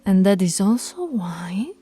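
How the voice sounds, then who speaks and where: A woman voicing a dominant, stern yet tender female alpha werewolf pack leader in an intimate audio roleplay, commanding and teasing, with a husky, breathy, creaky voice that often drops to murmurs. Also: mid-range voice, sing-song, a little slow, mellow, soft background music, exaggerated voice. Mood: firm, caring.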